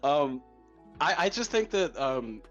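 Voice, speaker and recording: A man's voice: fairly high pitch, very animated, fairly bright tone, muffled recording, faint music behind. Chatty young man hosting a podcast. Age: young adult. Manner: chatty, quick to laugh. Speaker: podcast interviewer. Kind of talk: casual interview chat.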